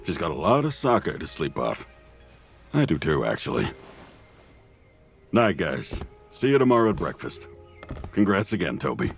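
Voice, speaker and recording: An actor playing a dry, teasing, laid-back friend with a deep gravelly voice in an animated comic drama. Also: male voice, normal speed, long pauses, faint music behind, exaggerated voice. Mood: dry, sleepy. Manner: dry, wry. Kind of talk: animated motion-comic voice acting.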